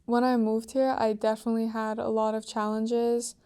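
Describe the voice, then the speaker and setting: A teenage girl from Iran answering interview questions in an earnest, soft-spoken way, with frequent "like" and "um" fillers. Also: fairly high pitch, little pitch movement, normal speed, clean tone. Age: teens.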